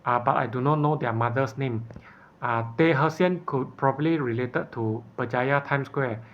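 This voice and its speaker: A man talks straight to the camera in an unscripted appeal. His earnest delivery keeps stalling as he searches for words, and his voice sits at an ordinary pitch for a man. The recording sounds dull and low-fidelity. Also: normal speed. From Malaysia. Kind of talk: personal video appeal, unscripted monologue.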